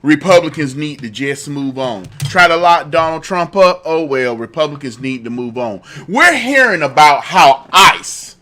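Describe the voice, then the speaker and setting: A man's voice: fairly high pitch, expressive, a little slow, few pauses, sharp tone, slight background noise. A man hosting a political talk show with a lingering cough, repeating himself as his commentary builds into heated indignation. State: fighting a cough.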